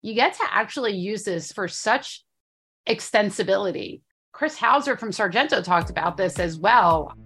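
A woman's voice: medium pitch, animated, moderate pace, a bit bright, slightly rough. A woman co-hosting a business podcast, speaking in an upbeat, articulate way. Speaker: podcast co-host.

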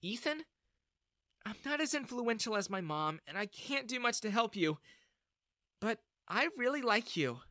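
A man's voice: sits high, very animated, unhurried, sharp tone, no room sound, studio quality, pitched above the performer's own voice, exaggerated voice. A man doing an outspoken young woman. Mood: tender. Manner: indignant, earnest.

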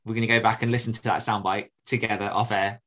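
A man co-hosting a casual podcast, quick and teasing, laughing easily. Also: fairly low pitch, level tone, sharp tone. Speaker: podcast co-host. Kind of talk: casual chat.

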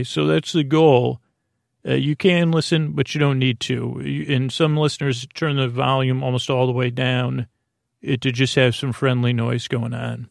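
A man with a creaky voice hosting a sleep podcast in a lulling, friendly, meandering and halting way. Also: mid-range voice, dry recording, studio quality. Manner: lulling, meandering, circular tangents. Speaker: podcast host. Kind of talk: rambling bedtime sleep podcast monologue.

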